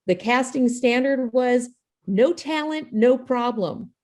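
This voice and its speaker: A woman's voice: fairly high pitch, lively intonation, slow pace, darkish tone. Female host for video-call show introduction; informal, jokey, self-effacing delivery. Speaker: playwright and host of an online table read.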